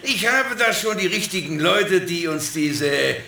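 Scheming hacker boss for radio play: high, very gravelly, smug and commanding, slow theatrical delivery. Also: male voice, lively intonation, bright, forceful tone, heavily acted. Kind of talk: children's radio drama acting. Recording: echoey, with faint noise.

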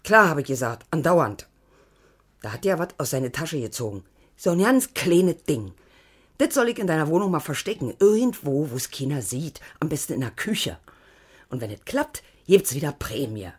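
An actress playing an anxious, devoted old woman with a husky voice and a colloquial Berlin accent in a radio drama. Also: low voice, sing-song, normal speed, heavily acted. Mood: uneasy, confiding. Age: older. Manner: colloquial, rambling, pleading. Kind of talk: naturalistic radio drama acting.

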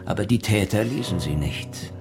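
An actor playing an earnest, reflective police inspector in a radio crime drama, speaking in a measured way. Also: male voice, on the low side, lively intonation, music in the background. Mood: grave, reflective.

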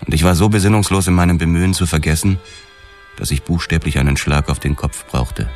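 A man's voice: sits low, steady tone, brisk, darkish tone, soft background music. An actor playing a world-weary, haunted police investigator who narrates his past in a noir radio drama. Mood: brooding.

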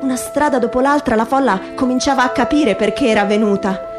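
A woman's voice: a little high, fairly quick. Woman reading dramatically over music. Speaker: theatre voice performer reading literary prose. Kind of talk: dramatic literary reading, radio theatre.

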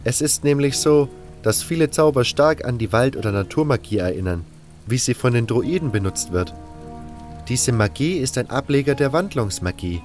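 A man's voice: mid-range voice, expressive, moderate pace, a little mellow, faint music behind, close to an everyday voice. A man voicing an eager, bookish young fire mage who lectures earnestly in a wordy way, in a fantasy audio drama. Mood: eager lecturing.

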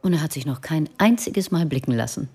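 An actress playing a friendly, discreet office consultant in a naturalistic radio drama, with a casual, reassuring manner. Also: female voice, deep voice, expressive, brisk, mellow, husky, full character voice. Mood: friendly, candid.

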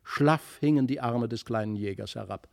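Guy reading a classic fairy tale, expressive and careful, mid pitch, really dry studio. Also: moderate pace, gravelly, dry recording. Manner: animated, carefully phrased. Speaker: narrator. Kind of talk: expressive literary storybook narration.

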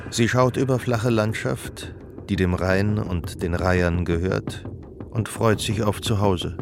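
A man acting as the measured, reflective narrator of a literary radio drama about love. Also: fairly low pitch, gravelly. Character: omniscient male narrator of a literary love story, observant. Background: music.

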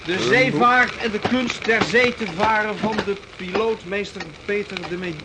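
A man's voice: sits high, fairly even intonation, normal speed, lightly acted. An actor playing a plain-spoken sailor in a period radio play, hesitant as he searches for words. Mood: busy, curious. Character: plain-spoken male sailor taking stock of cargo. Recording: very noisy old radio drama recording.

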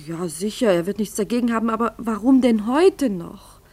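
Radio drama role: worried, tender wife, fairly high voice, dry close sound, hesitant. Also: female voice, animated, normal speed, a little mellow, no room sound. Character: soft, worried, tearful young wife.

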